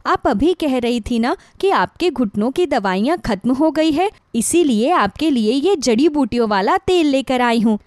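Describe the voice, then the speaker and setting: A woman's voice: sits high, darkish tone, dry recording, clean studio sound. A woman playing a sweet, dutiful young daughter-in-law, eager to please, in a moral story. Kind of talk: acted dialogue in a moral story.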